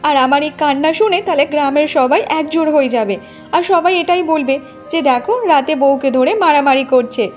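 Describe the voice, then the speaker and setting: A woman's voice: high voice, fairly quick, natural voice. A woman voicing a vain, bossy young village wife in a cartoon, demanding and boastful as she nags. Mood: demanding, whiny. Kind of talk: Bengali cartoon voice acting. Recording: music bed under the voice.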